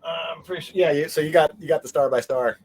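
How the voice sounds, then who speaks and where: A man's voice: on the high side, fast, a little mellow, husky. Man rambling through casual fan chat. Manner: joking, self-interrupting, rambling. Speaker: interview guest, convention organizer.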